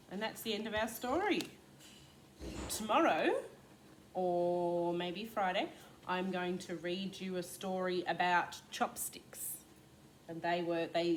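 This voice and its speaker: A woman reading a storybook aloud as a kindergarten teacher, expressive, with questions and asides to young listeners. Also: on the low side, rough recording. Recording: clear background noise.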